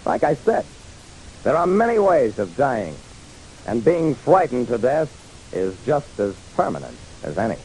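A man's voice: mid-range voice, big pitch swings, a little slow, a little mellow, poor audio. An actor playing a hard-boiled private detective narrating his casebook in a wry, dramatic, punchy radio style. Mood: wry, matter-of-fact. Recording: hissy old radio recording.

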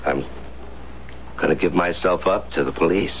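An actor playing a gentle, remorseful hunted man in a radio drama, with a gravelly voice and a halting, earnest manner. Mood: tired, pleading. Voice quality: deep, gravelly. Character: weary male fugitive, gentle, remorseful, hunted. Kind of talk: 1970s radio drama acting. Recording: dull, noisy 1970s radio recording.

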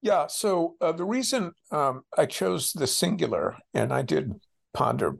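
An older American man, a scholar being interviewed, speaks reflectively and haltingly, often circling back over his phrases. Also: fairly high pitch, big pitch swings, creaky. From United States. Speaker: interviewed author, scholar and former policy official.

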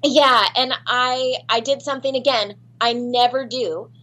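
A woman's voice: on the high side, steady tone, a little slow, sharp tone, poor audio. A woman guest in an interview, chatting casually and laughing at herself, with lots of ums and restarts. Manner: chatty, self-deprecating, many fillers and restarts. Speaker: voice actress guest.

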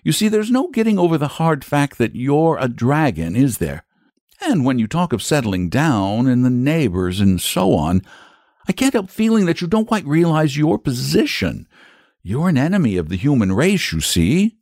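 Man putting on a high-pitched earnest little boy. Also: big pitch swings, normal speed, darkish tone, no room sound, studio quality. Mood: polite, frank. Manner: exasperated-sensible, polite, emphatic. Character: earnest, polite, frank young boy. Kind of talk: storybook character voice.

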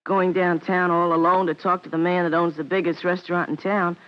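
A man's voice: high-pitched, little pitch movement, fairly quick, natural voice. A male actor playing an eager, naive schoolboy, boyish and plainspoken, in old-time radio drama. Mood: discouraged. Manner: boyish, wide-eyed, plainspoken. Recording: dull vintage radio recording.

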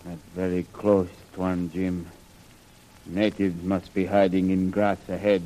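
A cautious, loyal male guide in a vintage radio adventure. He speaks low, in measured, clipped broken English. Faint noise runs under the old recording. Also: flat delivery, dark tone, low-quality recording. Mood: wary. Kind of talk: 1940s radio serial acting.

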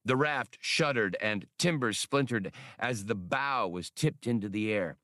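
A man reads adventure fiction as a dramatic narrator. His pace is slow and deliberate, his mid-pitched voice moves expressively up and down, and the sound is dry. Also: expressive, bright, forceful tone, dry recording. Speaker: audiobook narrator.

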